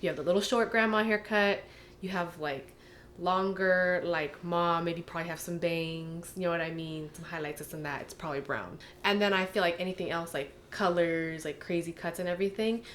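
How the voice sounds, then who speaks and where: Lower-pitched young American woman, noisy recording. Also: moderate pace, a bit bright. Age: young adult. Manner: informal, heavy use of "like" and "you know". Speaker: anonymous testimony participant. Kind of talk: casual reflective conversation.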